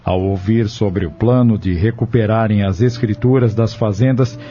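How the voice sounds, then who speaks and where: A man's voice: medium pitch, dark tone. A man narrating a dramatic audio novel from a script in a solemn, literary manner. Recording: dull lo-fi audio with music behind. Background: music.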